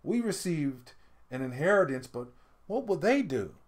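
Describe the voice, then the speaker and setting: A man's voice: a little high, sing-song, unhurried. A man voicing an earnest, caring youngest brother in a storybook, with a pleading manner. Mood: concerned.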